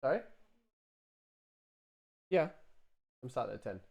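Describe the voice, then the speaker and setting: A man's voice: medium pitch, expressive, slow, gravelly, darkish tone, lo-fi sound. A middle-aged man casually thinking aloud to stream viewers as he works, muttering in short fragments. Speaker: sound designer live-streaming his editing work. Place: small room indoors.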